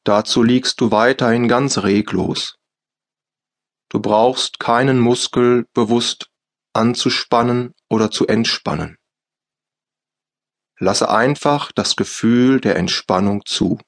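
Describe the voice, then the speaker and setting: A man with a German accent guiding a yoga nidra relaxation in slow, soft, calm instructions with long pauses. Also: medium pitch. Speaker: meditation guide. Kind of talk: guided yoga nidra meditation.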